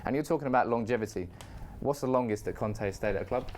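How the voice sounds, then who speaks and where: A man's voice: mid-range voice, quick pace, a little mellow, a little hiss or hum. A man arguing football as a pundit, telling it dramatically and talking over the others. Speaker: Manchester United fan pundit. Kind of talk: heated football debate.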